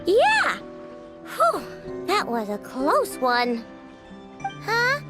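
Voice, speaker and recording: Excitable kid inventor girl, game character, super high voice, bubbly n boastful, bouncy pitch. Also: drawn-out words, music in the background, exaggerated voice. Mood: excited, relieved. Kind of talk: video game voice acting.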